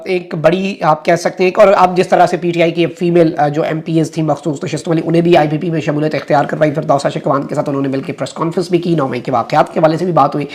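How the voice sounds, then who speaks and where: Pakistani man giving political news commentary. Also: a little high. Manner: mixes English phrases into his talk.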